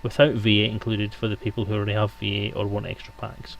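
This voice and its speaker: Male streamer, brisk and casual, slightly low pitch, faint background noise. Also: level tone, vocal fry, rough recording. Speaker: stream participant relaying chat questions. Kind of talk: casual live stream chat.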